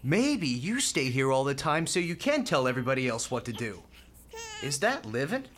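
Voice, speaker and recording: A woman's voice: very deep voice, very animated, moderate pace, a little hiss or hum. A woman acting in a radio play as a brash, tender, streetwise young hospital volunteer, speaking informally with an American accent. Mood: defiant, heated. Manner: informal, defiant, slangy.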